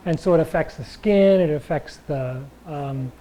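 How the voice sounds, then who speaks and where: A man teaching a chi gung and tai chi class in a loose, rambling way, with a slightly raspy voice and frequent check-ins with his listeners. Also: a little high, moderate pace, warm tone, low-quality recording. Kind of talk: casual class talk.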